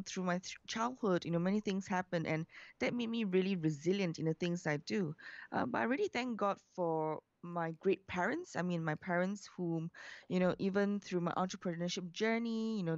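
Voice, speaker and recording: A woman's voice: fairly low pitch, a little mellow, no echo. Chatty woman from Singapore, dull dry line. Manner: chatty, many fillers like "you know". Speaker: entrepreneur guest. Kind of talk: interview storytelling.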